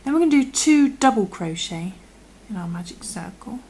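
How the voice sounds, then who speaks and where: A woman with a croaky voice, patiently talking through a crochet tutorial and often dropping to a half-whisper as she works. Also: medium pitch, expressive, moderate pace, darkish tone. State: croaky from illness. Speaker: crochet instructor. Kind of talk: step-by-step tutorial.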